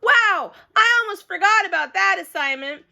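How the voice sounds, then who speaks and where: A woman voicing an excitable, bossy, chatty little girl from a storybook, loud, eager and emphatic. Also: very high voice, normal speed, gravelly, pitched above the performer's own voice, heavily acted. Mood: excited.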